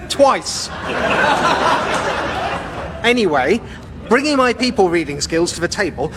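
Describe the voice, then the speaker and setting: A man's voice: high voice, fairly bright tone, slight background noise. An actor playing a naive, eager male steward in his twenties in a radio sitcom, earnest and guileless. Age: 20s. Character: naive, eager twenty-eight-year-old male steward, cheerfully dim.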